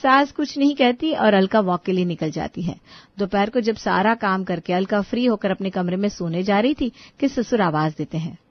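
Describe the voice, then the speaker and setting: A woman's voice: medium pitch, expressive, normal speed, no room sound. A woman narrating a scripted children's story as the storyteller. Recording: dull lo-fi audio.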